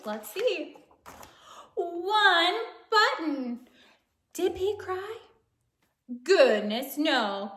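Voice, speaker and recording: Female children's read-aloud narrator, very unhurried, playful and exaggerated, sing-song delivery, recorded in an echoey room. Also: high-pitched. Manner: animated, playful, exaggerated exclamations and questions to young listeners. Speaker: storyteller reading a picture book to children.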